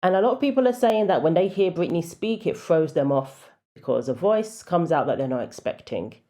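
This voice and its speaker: Low-voiced woman giving opinionated commentary. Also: lively intonation, fairly quick, dark tone. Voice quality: deep voice. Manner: opinionated, wry asides. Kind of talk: casual commentary vlog.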